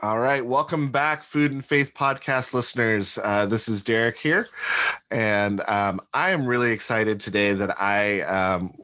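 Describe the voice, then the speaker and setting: A man's voice: lowish voice, a little slow, pushed and bright. A man co-hosting a podcast, introducing a guest and asking questions with many ums and uhs. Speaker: podcast co-host. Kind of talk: casual interview hosting.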